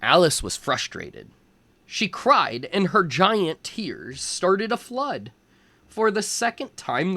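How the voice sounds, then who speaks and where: A man reading a storybook aloud as a teacher for young children, in deliberate phrases. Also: on the high side, pushed and bright, dry recording.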